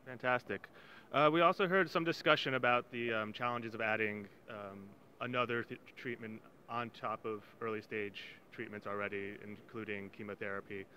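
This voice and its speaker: A man in his thirties asks questions at a conference in a bright, crisp tone, leaning on "um"s as he frames each one. He holds a handheld mic on an indoor set, with faint noise behind him. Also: fairly low pitch, fairly even intonation, normal speed. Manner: friendly, with filler-heavy questions. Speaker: interviewer for a patient organization.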